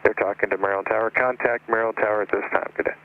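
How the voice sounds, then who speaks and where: Crackly narrow radio, guy doing air traffic control, clipped and pretty monotone. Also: lowish voice, fast talker. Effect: aircraft radio. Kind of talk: clipped aviation radio communication. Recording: tinny, noisy aviation radio.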